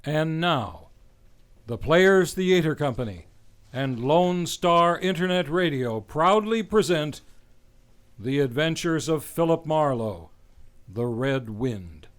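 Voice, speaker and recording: A male host announces a radio play in a formal, theatrical old-time style. His voice sits a little high and swings expressively, with a very unhurried, scripted delivery. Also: expressive.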